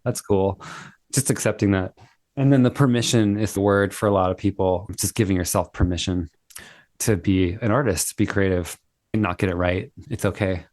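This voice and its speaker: A fairly low-pitched man talks with easy enthusiasm, often affirming what he hears. He is heard over a video call, and the sound is dry with no room echo. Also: moderate pace, darkish tone. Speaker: guest, singer-songwriter. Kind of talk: casual interview chat.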